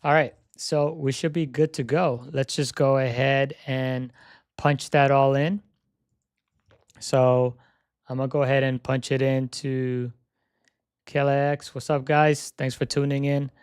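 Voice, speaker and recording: A young man live-streaming a flight sim session, chatting casually and thinking aloud as he sets up, his voice slightly hoarse. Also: mid-range voice, little pitch movement, unhurried, no room sound, studio quality. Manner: repeats "yep" while checking items.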